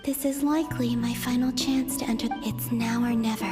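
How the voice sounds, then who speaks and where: A woman playing a gentle, melancholy young moon maiden in a video game, musing to herself in hushed tones with breathy sighs. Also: fairly high pitch, fairly even intonation, moderate pace, lightly acted. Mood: anxious, hushed. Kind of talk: dramatic video game voice acting. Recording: background music under the voice.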